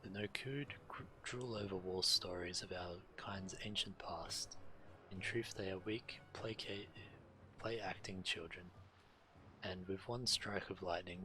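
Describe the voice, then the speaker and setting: Deep, bright-edged man reading game quests. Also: slight background noise, low-quality recording. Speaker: game streamer. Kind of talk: dramatic reading aloud of game quest text. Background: faint game music.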